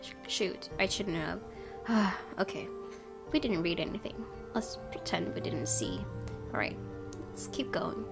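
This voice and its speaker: A woman's voice: on the low side, expressive, measured pace, creaky. A woman gaming host, reading a visual novel aloud expressively and adding her own casual commentary. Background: game music.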